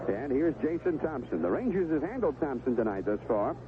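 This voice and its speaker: A man's voice: medium pitch, very animated, a little fast, phone-quality sound, background noise. An American man calling baseball play-by-play in a live radio commentary style, on an old 1970s broadcast. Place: stadium broadcast position.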